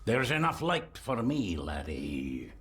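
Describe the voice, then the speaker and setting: An actor playing a blind old Scottish gardener in a video game, speaking in a folksy, ominous way. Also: male voice, on the low side, wildly swooping pitch, takes it slowly, fairly bright tone, slight background noise, exaggerated voice. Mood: gruff, cryptic.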